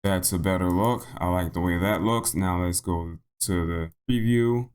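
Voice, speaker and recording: A man narrating a software tutorial in a casual, unscripted way, thinking aloud and repeating himself, his voice slightly hoarse. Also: deep voice, measured pace. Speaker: tutorial host.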